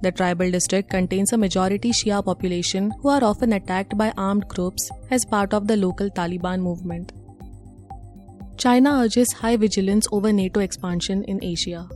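A woman from India reads a daily news bulletin in a steady, measured delivery. Her voice is mellow and warm, at an ordinary middle pitch. Faint music plays under her. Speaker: news presenter. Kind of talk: scripted news reading.

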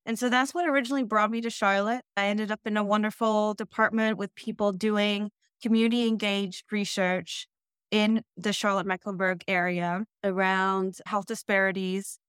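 A woman originally from the Netherlands, in her thirties, answering interview questions in a measured, explanatory way. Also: mid-range voice, steady tone, a bit bright, clear, resonant tone, no echo, studio quality. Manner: measured, explanatory, occasional restarts. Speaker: school district planning head.

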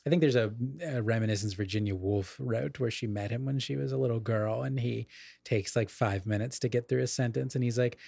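Man, medium pitch, erudite, explanatory, careful qualifications, conversational podcast discussion, close dry mic. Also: fairly quick, gravelly, darkish tone, dry recording. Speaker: podcast co-host explaining literature. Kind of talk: casual literary discussion.

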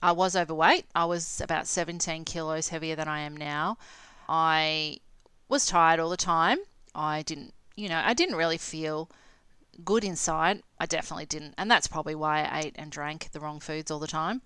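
Low, crisp Australian woman in her forties. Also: normal speed, fairly bright tone, no room sound. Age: late 40s. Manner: conversational, candid. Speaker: podcast host and health coach. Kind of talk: casual solo talk, personal storytelling.

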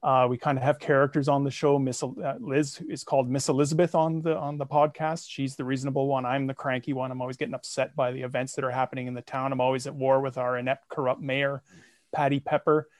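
A man guesting on a podcast, telling the story of his projects in a lively, self-mocking way. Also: medium pitch, fast talker, dry recording, clean studio sound. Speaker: guest, comedy podcaster and songwriter. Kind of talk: casual interview.

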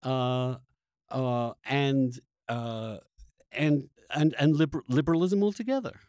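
A man hosting a conversational political discussion, speaking emphatically and haltingly, often repeating words as he builds a point. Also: medium pitch, darkish tone, smooth, dry recording, clean studio sound. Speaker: podcast host interviewing a guest.

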